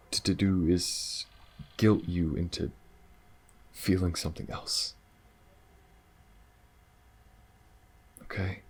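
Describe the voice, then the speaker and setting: A man playing a gentle, wistful alien lover in an intimate ASMR roleplay, speaking softly and hesitantly. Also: low-pitched, full character voice. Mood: tender, reassuring. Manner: soft, hesitant, breathy pauses and sighs. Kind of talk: ASMR audio roleplay, intimate monologue to the listener.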